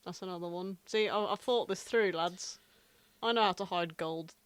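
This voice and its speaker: A woman's voice: fairly low pitch, normal speed, slight background noise. A woman co-hosting a podcast in casual, wry comedic chat. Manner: wry, jokey. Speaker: podcast co-host.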